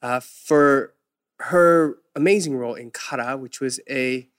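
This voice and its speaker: A woman hosting a podcast interview in a casual, eager way, reacting with many quick backchannels. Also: rumbling low. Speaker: podcast host.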